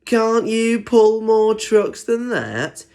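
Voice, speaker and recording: Guy doing naughty storybook trucks, high squealy voice, teasing and chanting. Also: very animated, very slow, darkish tone, full character voice. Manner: taunting, chanting, high-pitched. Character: naughty, teasing troublesome railway trucks, mischievous and giggly.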